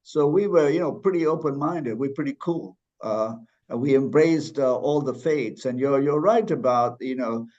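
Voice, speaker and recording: A man's voice: medium pitch, moderate pace, a little mellow, lo-fi sound. A man from Malaysia speaking as a podcast guest, thoughtful, with frequent restarts and fillers. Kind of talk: conversational interview.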